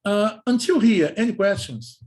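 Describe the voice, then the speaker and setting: A man with a Brazilian accent, a native Portuguese speaker, gives a technical lecture in halting non-native English, repeating himself and often checking in with short questions. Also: sits high, lively intonation, a little mellow.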